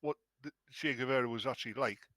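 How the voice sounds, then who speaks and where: A man's voice: mid-range voice, quick pace, a bit bright, dry recording, studio quality. A man in his sixties teasing friends in a casual group voice chat. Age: 60s. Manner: teasing, joking.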